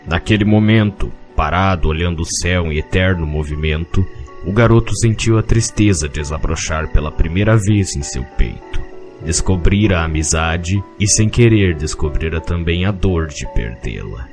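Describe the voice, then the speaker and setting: A man with a Brazilian accent narrating a scripted fantasy story in a measured, literary way. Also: low voice, lively intonation. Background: music.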